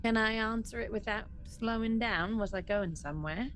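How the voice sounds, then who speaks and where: A woman's voice: on the high side, normal speed, fairly bright tone, a little hiss or hum. A woman chatting casually and informally as a player in a roleplaying game. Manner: informal, reactive. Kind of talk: casual chat.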